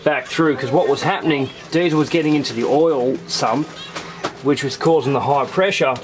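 A man's voice: medium pitch, normal speed. A man in his thirties with an Australian accent, talking casually and in a rambling, laid-back way as a sailing vlogger. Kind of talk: casual explanatory vlog talk. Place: aboard a small sailboat, in the cabin and on deck.

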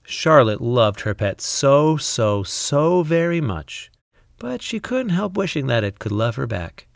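Slow, animated male storybook reader. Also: medium pitch, gravelly, no echo, clean studio sound. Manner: expressive, deliberate with dramatic pauses. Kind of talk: scripted children's story narration.

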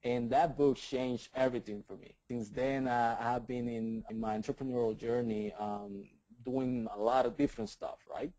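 An eager man in his thirties with a Puerto Rican accent, talking as an interview guest. His voice is mellow and relaxed, recorded dry and close. He often stumbles over repeated words and adds "right?" to his sentences. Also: mid-range voice, moderate pace, no room sound, low-quality recording. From Puerto Rico. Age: 30s. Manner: enthusiastic, repeats words, many "right?" tags. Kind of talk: casual interview talk.

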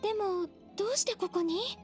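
Soft-spoken young secretary. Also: female voice, extremely high pitch, moderate pace, soft background music. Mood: curious. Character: gentle, dutiful young secretary woman. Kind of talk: Japanese game dub.

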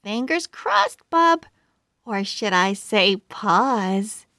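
A woman voicing an excitable, affectionate young girl inventor in a children's storybook, chirpy and exclaiming. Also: mid-range voice, very slow, no room sound, clean studio sound, exaggerated voice. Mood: excited, hopeful.

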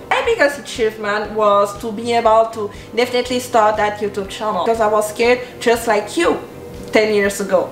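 A young woman speaks earnestly and encouragingly to camera, her voice a little high. She talks in a bedroom that sounds roomy and echoey, with the camera at medium distance. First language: French. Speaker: style coach and YouTuber. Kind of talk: motivational vlog talk to camera. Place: bedroom with clothes rack and shelves, indoors.

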